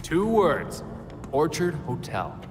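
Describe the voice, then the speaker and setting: An actor playing a wry, resolute young vigilante leader in a video game, with an American accent and a confident delivery. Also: male voice, a little high, sing-song, takes it slowly. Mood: wry, assured. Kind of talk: video game cutscene acting. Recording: long reverb, music behind.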